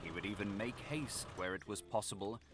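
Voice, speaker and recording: A man playing a grave, scholarly Victorian gentleman confessing his secret evil in a formal, theatrical audiobook narration. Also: on the low side, fairly quick, over music, lightly acted. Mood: grave confession. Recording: loud vehicle and machinery noise behind. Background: vehicle and machinery noise from a video game.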